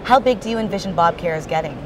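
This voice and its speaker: A woman's voice: fairly low pitch, lively intonation, quick pace, crowd noise. A woman interviewing a guest in a casual, affirming business conversation. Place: busy hosting industry event. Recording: noisy event location, traffic-like ambient noise.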